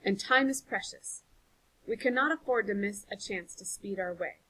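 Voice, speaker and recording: A woman's voice: medium pitch, moderate pace, sharp tone, rough recording. Woman playing a stern old village matriarch. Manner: commanding, stern.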